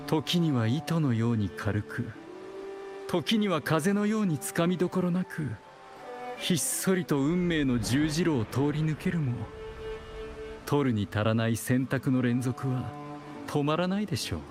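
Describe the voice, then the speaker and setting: An actor playing a detached, wry chronicler in a video game, narrating in a polite, formal and measured way. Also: male voice, a little high, lively intonation, music in the background, natural voice. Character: composed, detached male chronicler narrating a heroine's saga.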